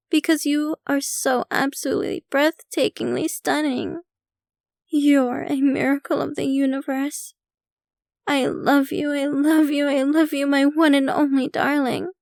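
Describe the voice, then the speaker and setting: A woman's voice: high-pitched, gravelly, dry recording, clean studio sound. A woman playing a possessive, rich yandere vampire girlfriend in an ASMR roleplay, speaking to the listener in a theatrical, doting, gushing manner. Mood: obsessively adoring. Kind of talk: ASMR roleplay, scripted intimate monologue to listener.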